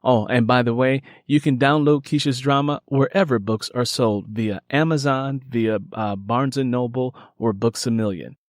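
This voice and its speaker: A man's voice: mid-range voice, normal speed, hardly any pauses, no echo, clean studio sound. A man speaking as an author and podcast host, addressing his listeners directly in an earnest way. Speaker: author, creator and narrator of an audio drama podcast.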